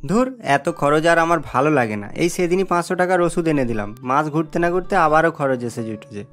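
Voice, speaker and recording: A man's voice: fairly high pitch, animated, brisk, fairly bright tone, soft background music. A man voicing an irritable, scolding grown son and husband in a cartoon, curt and impatient. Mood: irritated. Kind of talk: cartoon story voice acting.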